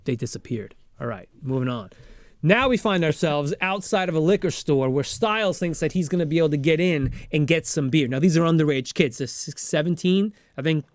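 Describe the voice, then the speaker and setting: A man co-hosting a show, improvising a comedic film recap in a rambling, stammering, excitable and casual way. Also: on the high side, brisk, no echo, studio quality. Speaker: podcast co-host retelling a film.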